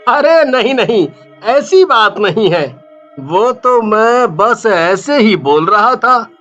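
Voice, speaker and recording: A man's voice: high-pitched, big pitch swings, slow, fairly bright tone, dull audio, soft background music, voice pushed up, exaggerated voice. A man playing a sneaky, bullying street vendor villain in a cartoon story, suspicious and threatening. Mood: sly. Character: sneaky villainous male snack seller, defiant bully.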